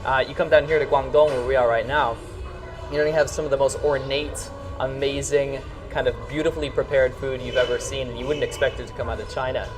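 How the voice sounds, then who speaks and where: American male vlog host, very quick delivery, enthusiastic and emphatic, light music behind. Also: medium pitch, little pitch movement, far from the mic. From rural New York, United States. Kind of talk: casual vlog talk to camera.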